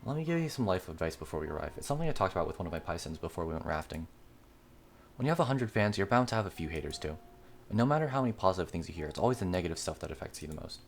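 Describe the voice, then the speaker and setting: Upbeat, confident female club president played by a man, visual novel, lively swings in pitch, brisk pace. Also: slight background noise. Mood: earnest.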